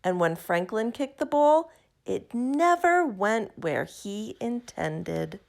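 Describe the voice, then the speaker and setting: A woman reading a children's picture book aloud in an expressive, deliberate, emphatic way. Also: medium pitch, vocal fry. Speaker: storybook reader.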